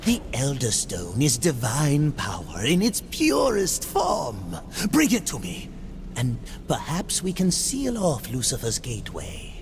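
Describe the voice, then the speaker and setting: Crafty male game dealer: gravelly, theatrical, deliberate delivery over a rumbling background. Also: wildly swooping pitch, background noise. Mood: eager. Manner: theatrical, arch. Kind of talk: video game cutscene acting.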